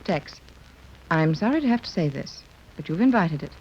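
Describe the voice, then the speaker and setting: A firm, reassuring leader with a lively voice, old radio. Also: female voice, deep voice, moderate pace, slightly rough, full character voice. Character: Patricia, firm expedition leader and mother. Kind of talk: 1930s radio acting. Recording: muffled 1930s radio recording.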